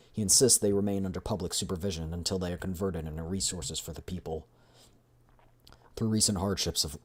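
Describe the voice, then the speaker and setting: A man's voice: low-pitched, slightly rough. A man narrating a game story aloud as a streamer, in a dramatic read-through style.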